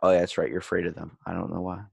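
A man's voice: deep voice, completely flat delivery, racing, vocal fry, darkish tone, breathy edge, no room sound. A man in a casual podcast chat, rambling as host and animatedly telling stories, acting out the lines he quotes.

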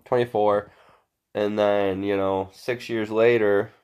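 A man's voice: on the low side, flat delivery, slow pace, darkish tone, clear, resonant tone. A man with a congested, sore-throated voice co-hosting a podcast in blunt, sweary, unscripted chat. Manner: profane, blunt. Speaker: podcast co-host.

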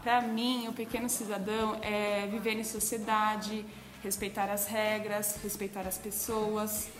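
A woman's voice: medium pitch, flat delivery, a little slow, echoey room. A woman in her twenties who assists a school's coordinators, answering children's questions and listing points with small hesitations. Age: 20s. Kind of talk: school project interview.

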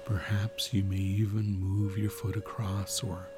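A man narrating a guided sleep meditation in a soothing manner, leaving long pauses. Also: lowish voice, level tone, over loud music.

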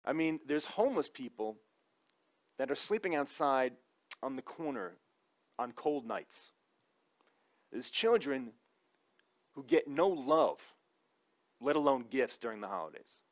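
A man's voice: medium pitch, big pitch swings, a little slow, long pauses, highs cut off. A man giving a conversational comic opinion monologue in an informal, wry, sometimes profane way. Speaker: comedic commentator.

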